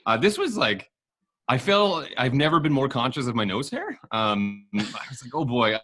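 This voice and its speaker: A man's voice: mid-range voice, very animated, normal speed, bright, forceful tone. A young man chatting casually about acting in an ad, rambling and self-deprecating with many fillers. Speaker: actor in the ad. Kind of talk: casual chat.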